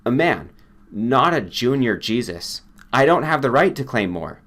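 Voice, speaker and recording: A man's voice: mid-range voice, very animated, unhurried, a bit bright. Earnest, emphatic doctor, voiced by a man. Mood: modest protest. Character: earnest, conscientious, modest male doctor-scientist.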